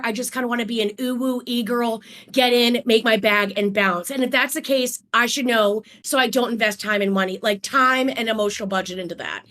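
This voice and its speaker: A woman's voice: on the high side, a bit bright. A woman on an online panel who thinks aloud at length, with a reflective, self-questioning manner. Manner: reflective, talks things through at length. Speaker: panel guest. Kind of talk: casual panel discussion.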